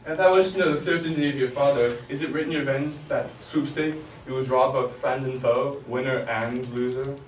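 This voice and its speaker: A man's voice: medium pitch, steady tone, fairly quick, hardly any pauses, slight background noise, close to an everyday voice. A man acting a scheming king, speaking declamatory stage verse, recorded from far off in an echoey hall. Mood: commanding. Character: scheming king, smooth and commanding.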